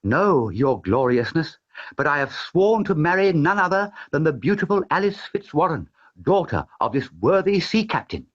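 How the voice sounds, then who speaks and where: A man's voice: a little high, very animated, moderate pace, voice pushed up. A man doing an earnest, humble young boy. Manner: earnest, pleading. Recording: slightly dull with faint music.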